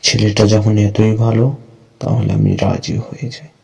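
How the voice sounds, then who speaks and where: A man's voice: on the low side, little pitch movement, normal speed, vocal fry, darkish tone, husky, exaggerated voice. A man voicing a worried village parent, fretful but yielding, in amateur cartoon dialogue. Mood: accepting.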